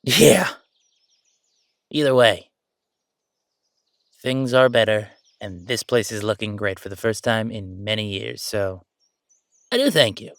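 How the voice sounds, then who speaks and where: A man's voice: on the low side, takes it slowly, pauses often, a bit bright, no room sound, studio quality. A man playing a chatty, easygoing ghost who died at thirty-five, rambling casually with wry asides in an audio roleplay. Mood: easygoing, opinionated. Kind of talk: conversational audio roleplay monologue.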